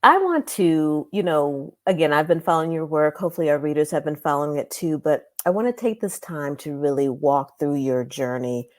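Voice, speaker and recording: A woman's voice: low-pitched, animated, normal speed, few pauses, dry recording, clean studio sound. A woman speaking as a podcast host, conversationally leading an interview. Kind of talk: warm-up interview questions, conversational.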